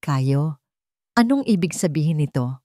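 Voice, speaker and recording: A woman's voice: low voice, animated, unhurried, warm tone, no room sound, clean studio sound. A woman voicing an elegant, disdainful aunt in a dramatic romance audio story, haughty and sarcastic. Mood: icy.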